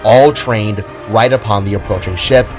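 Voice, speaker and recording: A man's voice: fairly low pitch, moderate pace, faint music behind. A man narrating a scripted audio drama in a dramatic storytelling manner.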